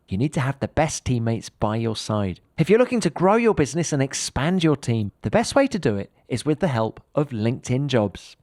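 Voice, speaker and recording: A man's voice: fairly high pitch, darkish tone, dry recording, clean studio sound. A man delivering a scripted podcast sponsor read. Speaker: podcast ad reader.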